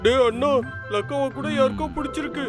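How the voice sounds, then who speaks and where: Curious, naive little-brother cartoon bear: high, childlike, excitable, over clear music. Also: male voice, fairly quick. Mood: eager. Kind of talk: dubbed children's cartoon acting.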